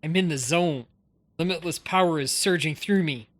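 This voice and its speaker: A woman's voice: low voice, moderate pace. A woman reading lines from a story game aloud. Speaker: game streamer.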